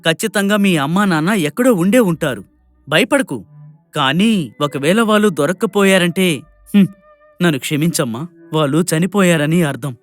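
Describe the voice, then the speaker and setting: A man voicing an apologetic, consoling villager in an animated moral story, gentle and reassuring. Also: a little high, normal speed, dry recording, faint music behind, lightly acted. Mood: consoling, regretful.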